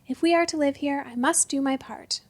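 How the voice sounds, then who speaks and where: A woman voicing a troubled, gentle man in a storybook, speaking earnestly and with quiet intent. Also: high voice, a little fast, lightly acted. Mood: quietly resolved.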